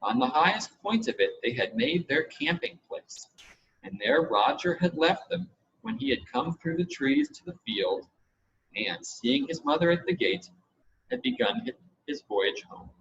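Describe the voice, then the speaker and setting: A man reading a children's adventure story aloud in expressive scripted narration. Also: fairly high pitch, a little slow. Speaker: audiobook reader. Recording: dull, lo-fi with audible background noise.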